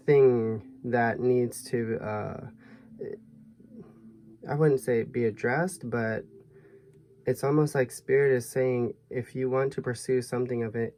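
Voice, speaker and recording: A man giving a tarot reading to viewers in a soft, musing way, his voice a little hoarse. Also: mid-range voice. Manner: soft, musing, thinks aloud with fillers. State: slightly hoarse, losing his voice. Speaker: tarot reader.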